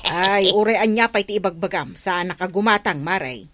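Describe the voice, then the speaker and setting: A woman plays a suspicious villager in radio drama. She speaks plainly and with disapproval at an average pitch, in a dry recording. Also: moderate pace, fairly bright tone, no room sound, close to an everyday voice. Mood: suspicious, disapproving.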